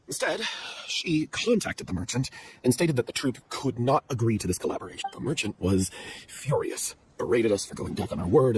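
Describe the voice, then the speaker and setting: Game role: male actor as remorseful, timid troupe man, earnest delivery with wide pitch movement, some background noise. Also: close to an everyday voice, low-quality recording. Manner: earnest, hesitant confessing. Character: guilt-ridden, cowardly male theatre troupe member. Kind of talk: dramatic video game acting.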